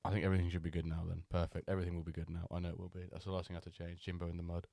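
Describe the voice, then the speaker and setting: A man hosting a live stream, chatting loosely with many pauses and fillers, still a little nasal from a cold. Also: low-pitched, monotone, gravelly, mellow, dry recording. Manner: chatty, rambling, with many ums and restarts. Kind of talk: casual live-stream chat.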